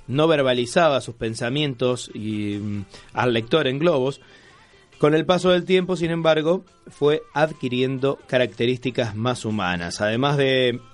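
Argentine guy hosting a radio chat show, jokey and rambly, music underneath. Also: medium pitch. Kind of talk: casual magazine-show chat with co-hosts.

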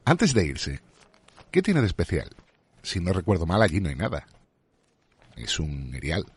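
A man's voice: low-pitched, wildly swooping pitch, measured pace, breathy edge, no echo, heavily acted. A man from playing a curt, dismissive office boss in a formal, dry audio drama scene. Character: curt, dismissive male boss at a government department. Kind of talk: scripted audio drama dialogue.

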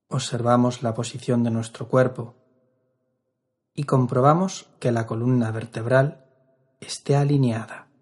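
A man leading a guided meditation as an instructor, calm and measured, with long pauses between phrases. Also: medium pitch, husky.

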